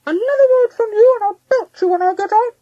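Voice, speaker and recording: A woman voicing a frightened, grumpy father mouse in a storybook, squeaky and full of blustering protest. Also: brisk, warm tone, no echo, lo-fi sound. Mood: snappish. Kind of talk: storybook character voice.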